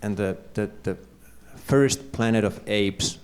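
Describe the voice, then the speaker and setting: A man's voice: on the low side, slow pace, darkish tone. A man from Finland with a Finnish accent, a novelist answering a panel question in English, hesitant and full of fillers.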